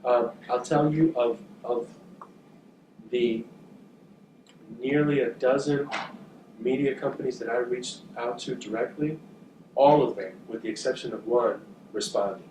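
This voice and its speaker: Man speaking in an echoey room. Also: medium pitch. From northern New Jersey, USA. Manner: thoughtful, halting, with frequent fillers and repeated words. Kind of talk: talk to journalism students. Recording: echoey, distant, with background noise.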